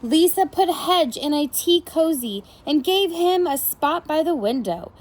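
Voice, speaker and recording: A woman preschool teacher reading a picture book aloud to young children, in an animated storytelling style with asides to the listeners. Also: high-pitched. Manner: pauses to comment and question the children.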